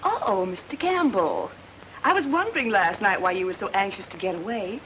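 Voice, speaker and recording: An actress playing a coy blonde femme fatale with an insinuating manner in 1940s radio noir. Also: female voice, mid-range voice, expressive, moderate pace, creaky, low-quality recording. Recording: old radio drama, music behind.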